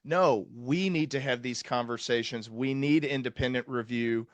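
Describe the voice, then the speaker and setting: A man speaking as a podcast host in an earnest, conversational way, deliberate and emphatic. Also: medium pitch, no room sound.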